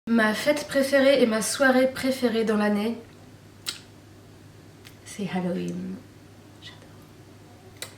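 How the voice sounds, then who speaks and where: A woman's voice: medium pitch, a little mellow, recorded from a distance, a little hiss or hum. A woman in her thirties from Normandy, chatting casually to camera as a beauty vlogger, gushing and repeating herself with many fillers. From Normandy, France. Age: 30s. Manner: gushing and repetitive, trails off with fillers. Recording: echoey room, off-mic.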